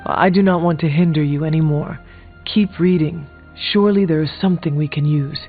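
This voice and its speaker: An actor playing a brooding, dry-witted warrior ally in a video game, speaking formally and deliberately. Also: male voice, on the high side, natural voice. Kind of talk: video game character dialogue. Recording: dull band-limited game audio, music behind.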